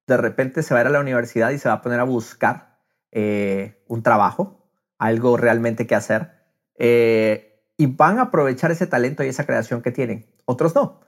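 A man's voice: medium pitch, moderate pace. A middle-aged man in casual podcast conversation, animatedly acting out quotes and dropping English phrases into his talk. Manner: mixes English phrases into speech.

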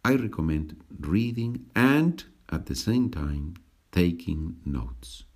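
A man's voice: sits low, big pitch swings, extremely slow, darkish tone. A man hosting a language-learning podcast, patiently repeating and translating each sentence. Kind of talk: slow bilingual teaching podcast.

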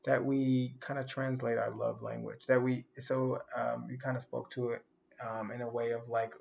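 A man's voice: medium pitch, little pitch movement, normal speed, gravelly, darkish tone, a little hiss or hum. A man co-hosting a casual podcast chat, reflective and rambling, often restarting his sentences.

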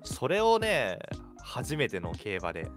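A man's voice: medium pitch, slow, faint music behind. A young man co-hosting a radio show, explaining things casually with an enthusiast's eagerness. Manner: enthusiastic, expressive.

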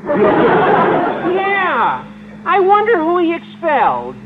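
An actor playing an overeager, earnest American high school boy with a cracking adolescent voice in a radio comedy. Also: wildly swooping pitch, very slow, a loud crowd, exaggerated voice. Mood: earnest, gushing. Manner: gushing, exaggeratedly earnest. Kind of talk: old-time radio situation comedy acting. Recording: tinny vintage radio recording, noise, studio audience.

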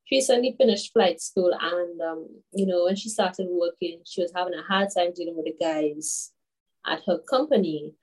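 A woman in her twenties from Grenada, a guest telling stories in a casual interview, chatty and animated with many fillers. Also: fairly low pitch, normal speed, slightly rough, rough recording. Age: 20s. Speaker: guest, children's author.

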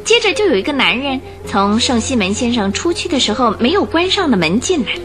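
A woman reading scripted radio drama narration in an expressive storytelling manner. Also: on the high side, fairly quick, soft background music.

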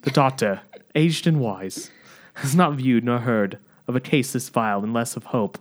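A man's voice: medium pitch, moderate pace, natural voice. A man playing a solemn lord bearing grim news in mock-archaic speech, with an attempted British accent drifting into Australian. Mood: sorrowful. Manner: solemn, theatrical, archaic diction. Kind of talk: amateur comic period-play read.

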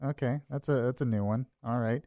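A man's voice: mid-range voice, a little fast, dark tone, no room sound. A man filling in as host of a morning radio show, chatting casually and laughing often. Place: radio studio. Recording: dry studio mic.

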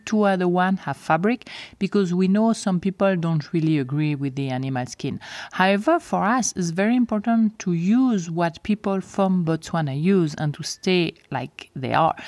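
Swiss French woman, fairly low voice. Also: darkish tone, no echo. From French-speaking Switzerland. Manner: unhurried, often repeats words for stress. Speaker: podcast host and lodge co-owner. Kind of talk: casual podcast monologue.

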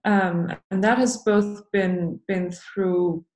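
A woman from Denmark talks as a podcast guest, thoughtful and halting, filling her pauses with soft "um"s. Her voice sits at a middle pitch and carries a roomy echo around it. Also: fairly even intonation. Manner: thoughtful, hesitant with many fillers. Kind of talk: podcast interview.

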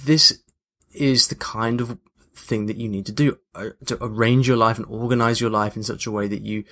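A man's voice: lowish voice, fairly even intonation, normal speed, sharp tone. A man hosting a podcast in a casual, chatty monologue, reflective and emphatic on key points. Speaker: podcast host.

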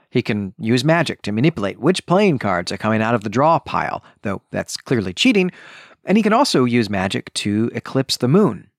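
Animated man recapping a book, dry sound. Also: mid-range voice, dry recording. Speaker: podcast host. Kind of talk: conversational book recap commentary.

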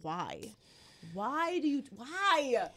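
Hoarse woman hosting sports talk. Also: sits high, extremely slow. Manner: animated, emphatic, riffs with rising exclamations. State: hoarse, losing her voice from illness. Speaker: podcast host.

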